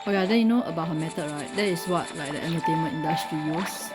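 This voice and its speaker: A woman's voice: low-pitched, fast, over loud music. A woman giving casual commentary while playing a game. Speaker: gamer playing and reacting.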